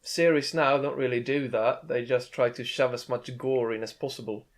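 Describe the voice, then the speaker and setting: A man's voice: medium pitch, steady tone, moderate pace. A man on a casual gaming podcast giving blunt opinions, hesitating with fillers. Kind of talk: casual discussion.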